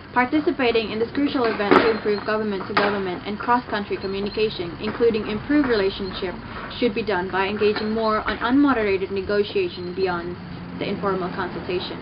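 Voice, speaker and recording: A young woman from Indonesia, giving an earnest prepared statement as a youth delegate, with small hesitations. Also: medium pitch. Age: young adult. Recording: slightly dull, noisy recording.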